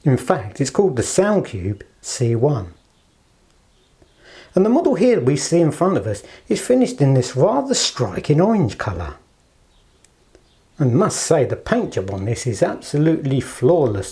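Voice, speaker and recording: A man with a mellow voice that is slightly husky presents a product in a casual, chatty style. His pitch moves around in a lively way at a middle height, and he stays polite throughout, speaking to a handheld camera. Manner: polite, chatty, fond of understated superlatives. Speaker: product presenter for an audio shop. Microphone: handheld camera held in one hand.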